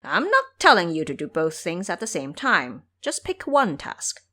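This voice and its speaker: Woman voicing a cocky, animated monkey trickster. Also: fairly low pitch, moderate pace, pitched below the performer's own voice, full character voice. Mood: bossy. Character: cocky, scheming male monkey disciple, bossy and mischievous.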